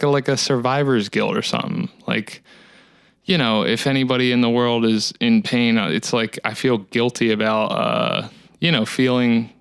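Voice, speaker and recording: A man in his thirties talking in a casual, rambling solo monologue, with a dry, monotone delivery. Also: mid-range voice, vocal fry, clear, resonant tone, no room sound. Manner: rambling, reflective, many fillers. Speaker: musician hosting his own talk series. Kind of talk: casual solo talk to camera.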